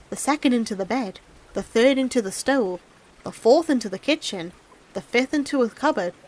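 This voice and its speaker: A high-pitched woman narrates a fairy tale. She reads expressively, in the manner of a storybook audiobook. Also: darkish tone, rough recording.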